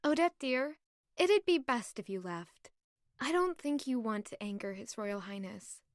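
A woman's voice: on the high side, animated, a little slow, no room sound, studio quality. A woman playing a sweet, curious young noble lady in an audio drama, polite and inquisitive. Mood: gentle, formal.

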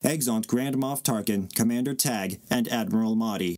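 A man's voice: lowish voice, moderate pace, darkish tone, dry recording, studio quality. A man narrating stage directions in a scripted reading of a play.

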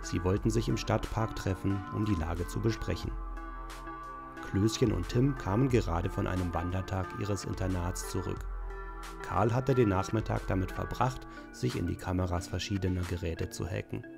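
A man's voice: low-pitched, moderate pace, gravelly. A man playing the solemn storyteller of a parody detective audio drama, reading scripted narration. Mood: measured narration. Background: music under the narration.

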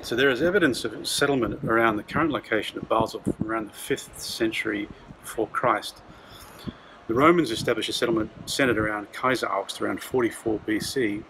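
Aussie bloke doing a travel vlog, low voice, bit of background noise. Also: male voice, a little fast. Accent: Australian. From Australia. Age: middle-aged. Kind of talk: casual presenting of travel tips.

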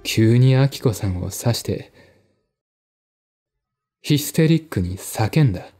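A man narrating a mystery novel as a dramatic audiobook, measured and deliberate, with pauses between phrases. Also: mid-range voice, expressive, warm tone. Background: faint music.

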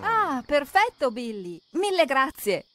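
A woman's voice: high-pitched, very animated, slow, background noise. A woman narrating a children's cartoon, expressively asking questions and talking straight to the characters.

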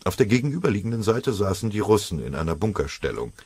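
A man with a husky voice reading a war story as scripted audiobook narration. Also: lowish voice, sing-song, quick pace, a little mellow, no room sound.

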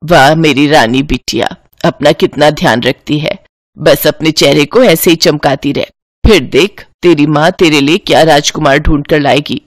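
A woman acts out a middle-aged cartoon mother-in-law, doting and quick to scold. The voice is pitched low and dramatic, pressing hard on the words in a dry recording. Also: dry recording.

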